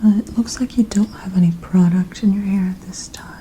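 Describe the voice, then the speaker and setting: A woman performing an ASMR personal-attention role-play, speaking in a gentle, soothing, attentive manner. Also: medium pitch, fairly even intonation, brisk, a little hiss or hum.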